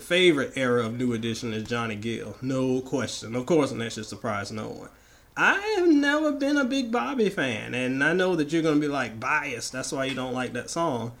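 A man from the American South co-hosting a podcast, hoarse and raspy from the flu, joking and opinionated in casual chat. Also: mid-range voice, animated, moderate pace, a bit bright. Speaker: podcast co-host.

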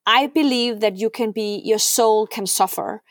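A woman's voice: fairly high pitch, measured pace, no echo, studio quality. A middle-aged woman from Denmark, a podcast guest talking earnestly, often repeating words and checking in with "right?". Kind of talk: heartfelt podcast conversation.

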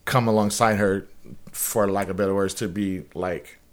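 A man with a low voice speaks earnestly on a podcast. He rambles and corrects himself as he works through his thoughts. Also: steady tone, moderate pace. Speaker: podcast guest. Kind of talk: casual podcast conversation.

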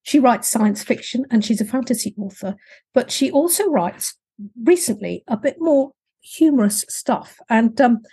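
A middle-aged woman with a husky, slightly croaky voice, hosting a podcast in a chatty, informal, rambling way. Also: on the high side, expressive, moderate pace, warm tone, poor audio. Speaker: podcast host. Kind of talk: casual conversational podcast hosting.

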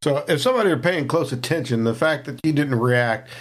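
A man's voice: mid-range voice, animated, fairly quick. A man playing a tabletop role-playing game, describing his character in a chatty, filler-heavy way. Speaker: player. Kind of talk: casual tabletop game chat.

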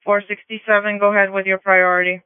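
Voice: mid-range voice, level tone, quick pace, clean tone. A police radio dispatcher directing units in radio procedure over a narrow radio channel.

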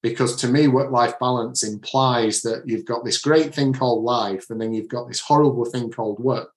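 Man, Manchester accent, author being interviewed, earnest with frequent restarts, middle pitch, roomy sound. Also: steady tone, normal speed. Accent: Manchester English. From Manchester area, England. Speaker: author and leadership coach. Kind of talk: podcast interview answers.

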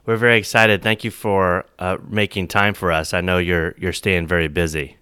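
Low-voiced polite man hosting a podcast. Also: little pitch movement, moderate pace, sharp tone, clear, resonant tone, no room sound, studio quality. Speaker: podcast host. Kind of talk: podcast interview.

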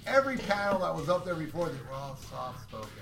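A man co-hosting a podcast, talking in a loud, powerful voice in a brash, profane, boastful way. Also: fairly high pitch, big pitch swings, fast, creaky, echoey room, music in the background. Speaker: podcast co-host. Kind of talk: casual podcast chat.

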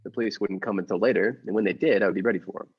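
A man playing a mild henpecked husband plotting murder in a radio play, measured in narration and hesitant in conversation. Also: on the low side, breathy edge, dull audio. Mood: brooding, confiding.

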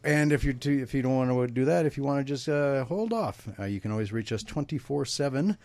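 A man's voice: mid-range voice, animated, a little fast, darkish tone, no echo. A man hosting a live radio talk show, chatting casually, laughing often and reading out listener messages.

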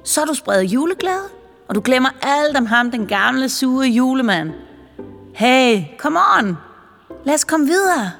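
Woman doing a high, wheedling pushy saleswoman. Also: very animated, a bit bright, big-room sound, faint music behind, exaggerated voice. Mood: coaxing. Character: strange pushy woman with pink wig, salesy.

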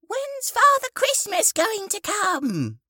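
Eager little kid for a story, piping high voice, slow and lively. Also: female voice, dry recording, clean studio sound, full character voice. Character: small eager child with a tiny voice. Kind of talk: audiobook character dialogue.

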